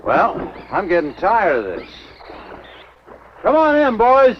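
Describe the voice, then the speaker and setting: An actor playing a blunt, sarcastic American small-town sheriff who interrogates impatiently in a 1950s B-movie. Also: male voice, high-pitched, huge pitch swings, slow pace, full character voice. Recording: dull, noisy 1950s film soundtrack.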